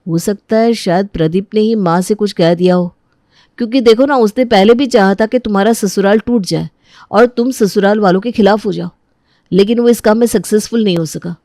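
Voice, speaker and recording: A woman acting an earnest, concerned young husband in a family audio drama, gentle and reasoning. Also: mid-range voice, fairly quick, dry recording, studio quality, natural voice. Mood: earnest, worried. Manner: earnest, reassuring.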